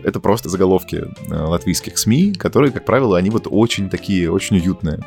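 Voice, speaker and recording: Deep-voiced fast-talking man telling stories. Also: lively intonation, a little mellow, faint music behind. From Russia. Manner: long anecdotal storytelling with frequent fillers. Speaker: podcast host. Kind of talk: casual conversational podcast chat.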